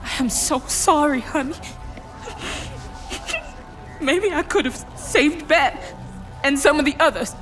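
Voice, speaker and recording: Bossy, high-voiced townswoman. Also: takes it slowly, pauses often, faint music behind, low-quality recording. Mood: confiding. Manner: sharp-tongued, commanding, folksy. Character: bossy, defiant small-town woman scientist with a secret. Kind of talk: audio drama acting.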